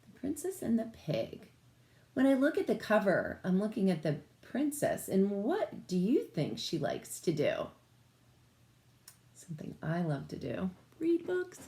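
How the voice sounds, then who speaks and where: A woman teacher reading a picture book aloud to children, expressive and often putting questions to her listeners. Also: medium pitch, a little slow.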